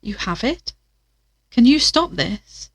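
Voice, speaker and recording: A woman's voice: on the high side, sing-song, takes it slowly, breathy edge, dry recording, full character voice. A woman playing an earnest investigator in an audio drama, talking in a thoughtful, conversational way. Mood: urgent, questioning. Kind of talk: audio drama acting, naturalistic diary narration.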